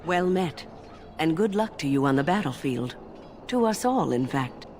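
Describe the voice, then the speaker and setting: An actress playing a wise, kindly older mage in a fantasy game, composed, formal and gently instructive. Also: female voice, deep voice, expressive, normal speed, slight background noise. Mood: gracious. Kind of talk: dramatic fantasy game voice acting.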